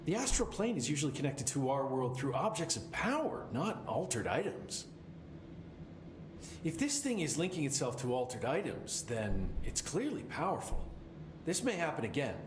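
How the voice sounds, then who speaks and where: Male, exasperated game-world containment supervisor; animated pitch, noisy backdrop. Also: moderate pace. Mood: matter-of-fact, wry. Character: flustered, wry male government containment supervisor. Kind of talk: video game dialogue acting. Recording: roomy, clearly noisy game audio in stream.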